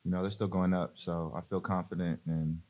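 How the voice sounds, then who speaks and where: Low, flat-voiced young male athlete. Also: a little fast. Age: young adult. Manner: low-key, relaxed, filler-heavy. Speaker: college basketball player. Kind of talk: postgame press conference answers. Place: press conference room, seated at a table. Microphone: desk mic on a stand.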